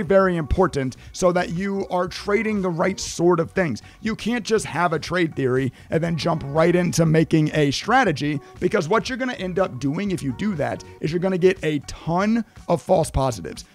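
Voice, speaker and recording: Hoarse, emphatic man teaching trading. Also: fairly high pitch. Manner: energetic, emphatic, repeats "right?". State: losing his voice. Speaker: trading educator. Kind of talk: screen-share tutorial.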